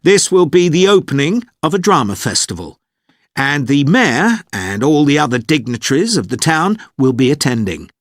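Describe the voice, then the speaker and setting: A man playing an organised, courteous tour leader, giving a measured, clearly explained scripted briefing for a listening test. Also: fairly high pitch, sing-song, dry recording, studio quality. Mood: polite, informative. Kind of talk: scripted informational monologue for an English listening test.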